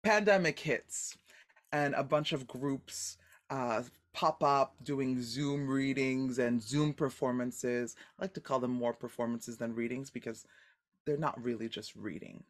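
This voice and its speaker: Deep-voiced woman, animated and expressive. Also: unhurried. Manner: animated, expressive, laughs often. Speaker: guest actor. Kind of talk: casual podcast interview.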